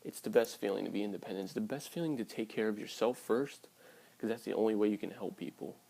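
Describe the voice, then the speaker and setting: A man's voice: lowish voice, fast talker, creaky, warm tone, low-quality recording. A man in his early twenties talking casually and candidly to his viewers in a blunt, sweary vlog style. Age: early 20s. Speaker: vlogger.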